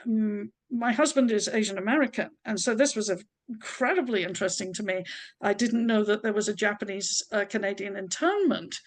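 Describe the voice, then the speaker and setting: A woman from Scotland with an English accent, a historian giving a chatty, opinionated talk full of asides. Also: a little high. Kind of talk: informal talk.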